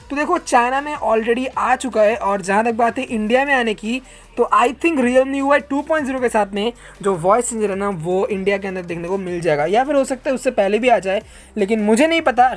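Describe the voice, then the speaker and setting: A man answers viewer questions in a casual, informal vlog style, addressing listeners like friends. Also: very high voice.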